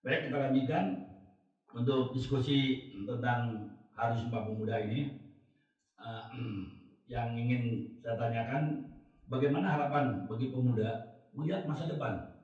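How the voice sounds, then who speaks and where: A man's voice: medium pitch, steady tone, a little mellow, distant mic, lo-fi sound. Echoey off-mic male talk show host. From West Java. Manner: measured, clears throat often, sums up guests' points. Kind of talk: formal moderated discussion. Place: studio.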